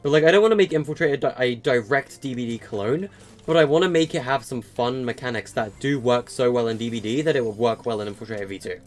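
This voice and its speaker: A man's voice: mid-range voice, fast talker, faint music behind. Rambling young man streaming a game. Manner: rambling, with frequent fillers and stutters. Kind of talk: casual live-stream chat answering viewers.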